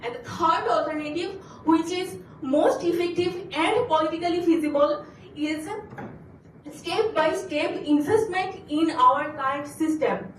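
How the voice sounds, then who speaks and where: A woman from Bangladesh, a graduate student giving an earnest competition pitch, stumbling and restarting now and then. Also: measured pace, reverberant, far from the mic, slight background noise, rough recording. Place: auditorium.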